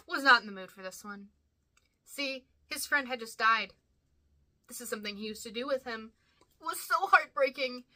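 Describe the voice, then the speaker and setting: Bright, sharp-voiced woman hosting a countdown. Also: a little high. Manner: enthusiastic, emphatic. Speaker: host of a ranking video. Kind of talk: fan commentary, countdown.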